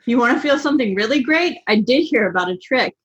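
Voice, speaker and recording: A woman's voice: fairly high pitch, lively intonation, fast talker, highs cut off, natural voice. A woman voicing a cheeky, impatient teenage witch girl who teases and huffs. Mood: teasing. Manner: teasing and huffy.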